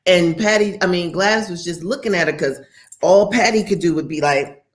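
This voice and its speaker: A woman, chatting candidly as a podcast co-host and acting out quotes. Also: normal speed. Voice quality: deep voice. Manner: candid, animated storytelling with acted quotes. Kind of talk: casual opinion chat.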